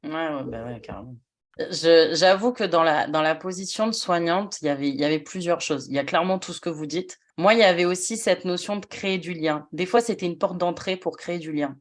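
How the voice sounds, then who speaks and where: A woman's voice: lowish voice, brisk. A woman who was once a nurse, hosting a podcast conversation and talking things through in a reflective way with frequent restarts. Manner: reflective, thinks aloud with many restarts. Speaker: podcast host, former nurse.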